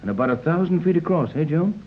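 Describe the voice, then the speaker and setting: Guy acting as a hearty adventurer captain, 30s radio style, really animated, muffled old sound. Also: a little high, fast talker, slight background noise, close to an everyday voice. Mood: curious, impressed. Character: Captain Tex Bradford, confident adventurous yacht captain. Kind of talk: 1930s radio serial acting. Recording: dull, lo-fi 1930s radio recording.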